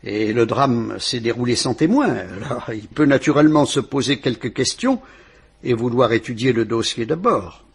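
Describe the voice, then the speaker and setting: An actor playing a courteous police inspector who questions a witness in a measured, reassuring way, in a vintage French radio drama. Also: male voice, mid-range voice, expressive, gravelly, breathy edge. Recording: old radio play recording.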